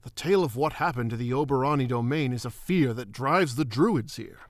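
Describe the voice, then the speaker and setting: A man playing a thoughtful druid royal and father in a fantasy audio drama, speaking formally and in measured phrases. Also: medium pitch, dry recording, studio quality, natural voice. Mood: grave, explanatory.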